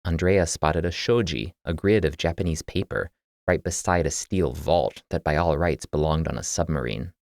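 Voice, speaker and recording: Deep-voiced male audiobook narrator. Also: normal speed, gravelly, a little mellow, no room sound, studio quality. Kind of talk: scripted fiction narration.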